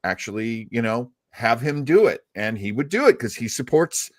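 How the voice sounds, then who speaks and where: A man's voice: mid-range voice, very animated, normal speed. A man hosting a casual live talk show, telling stories in a chatty, jokey, self-deprecating way. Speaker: host. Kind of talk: casual live show chat about old-time radio.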